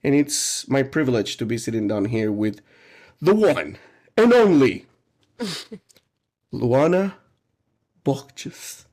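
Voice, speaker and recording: A man's voice: medium pitch, expressive, slow, pauses often, a little mellow. A man hosting a casual teaching podcast, enthusiastic and jokey, laughing often as he introduces guests and asks questions. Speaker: podcast host.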